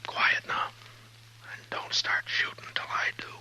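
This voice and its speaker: An actor playing a stern, steady frontier marshal in a 1950s radio western. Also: male voice, a little slow, in a whisper, natural voice. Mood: hushed, tense. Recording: noisy 1950s radio drama recording.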